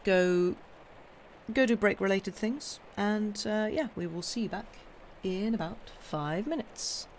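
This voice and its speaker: A woman's voice: mid-range voice, slow pace. A woman reading a classic novel aloud on a live stream, with casual asides to listeners. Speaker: reader and streamer. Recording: clearly noisy live stream recording.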